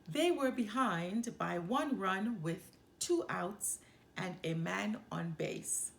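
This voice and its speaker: A woman reading a children's storybook aloud with expressive, dramatic delivery. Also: medium pitch, slow, vocal fry, slight background noise. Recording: roomy echo.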